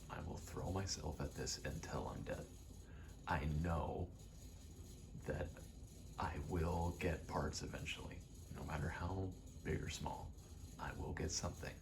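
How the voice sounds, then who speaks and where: Very deep-voiced man, soft ASMR ramble. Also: creaky, noisy background. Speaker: ASMR vlogger and aspiring actor. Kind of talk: ASMR ramble, casual chat.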